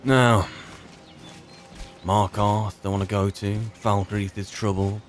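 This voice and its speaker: A man's voice: on the low side, little pitch movement, slow. A man giving casual role-play commentary as he plays, musing and muttering asides to himself. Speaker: gamer playing in character. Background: game music.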